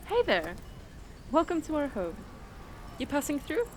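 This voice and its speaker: A woman playing a kind, welcoming townswoman in a radio drama, speaking gently. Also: high-pitched, huge pitch swings, measured pace, full character voice. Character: kind, welcoming townswoman of a peaceful eco-city. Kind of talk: radio drama dialogue and storytelling. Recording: noisy outdoor ambience behind the voice.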